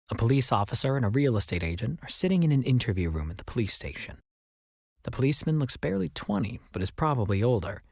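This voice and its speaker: A man reading as an audiobook narrator, in an expressive scripted storytelling style. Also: fairly low pitch, dry recording, clean studio sound.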